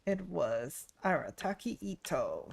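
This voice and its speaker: A woman's voice: low-pitched, sing-song, takes it slowly, creaky, husky. A woman streaming a video game, giving casual, laid-back commentary and reading game text aloud. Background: soft game music.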